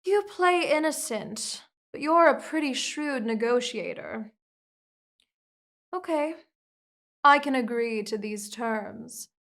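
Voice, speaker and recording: A woman's voice: on the high side, lively intonation, takes it slowly, a bit bright, heavily acted. A woman voicing a commanding, seductive female vampire queen in an ASMR hypnosis roleplay, speaking in a soothing, controlling way.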